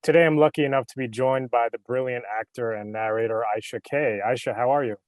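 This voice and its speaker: A man's voice: mid-range voice, fairly even intonation, fast talker, a little mellow, dry recording. Dry-sounding man hosting an interview. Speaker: host, audiobook producer.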